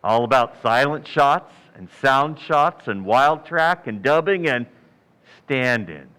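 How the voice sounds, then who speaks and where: A man's voice: medium pitch, expressive, slow pace, pushed and bright, smooth, rough recording. A man acting a shrewd, folksy police lieutenant in a radio crime drama, needling people with mock modesty and hesitant asides.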